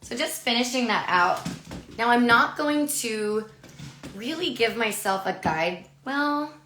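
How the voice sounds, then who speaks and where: A woman in her thirties with a raspy voice, a little hoarse from a lingering cough, teaching a live online haircut in a chatty way, often adding "right?". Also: on the high side, expressive, slow, a bit bright, roomy sound, slight background noise. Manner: chatty, filler-heavy, asks "right?" often. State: recovering from a cough, losing her voice. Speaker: hairdressing educator. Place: studio with a grey backdrop, indoors.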